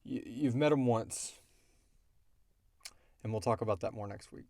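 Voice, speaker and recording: A man's voice: medium pitch, measured pace, creaky, darkish tone, dry recording, studio quality. A man hosting a podcast in casual conversation, explaining things in a rambling way as he answers listener questions.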